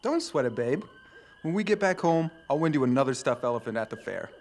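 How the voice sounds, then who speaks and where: A man's voice: medium pitch, big pitch swings, brisk, a little mellow, slight background noise. A man playing a cocky teenage boy who cracks sarcastic jokes. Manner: joking, sarcastic.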